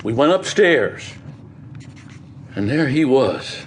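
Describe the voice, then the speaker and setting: An actor playing an earnest, hesitant farmer giving witness in an audio drama, with an American accent and halting, folksy storytelling. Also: male voice, medium pitch, sing-song, slight background noise. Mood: earnest recounting. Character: plain-spoken farmer witness, earnest and hesitant, recounting a grim discovery. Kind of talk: audio theatre acting.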